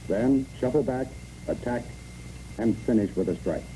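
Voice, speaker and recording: A man's voice: mid-range voice, unhurried, mellow, slight background noise. A man reads scripted instructional narration for a training film in clipped, imperative phrases, on a muffled old film recording.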